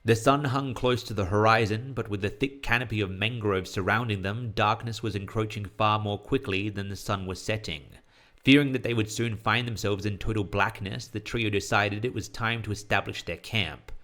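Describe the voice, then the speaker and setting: A man's voice: fairly low pitch, steady tone, moderate pace, fairly bright tone. A man reading a scripted horror story as its narrator.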